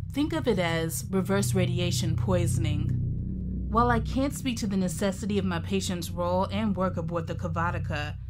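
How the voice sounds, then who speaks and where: A woman's voice: fairly low pitch, natural voice. A woman playing a composed, assertive doctor who speaks formally and firmly in an audio drama. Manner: formal, measured, firm.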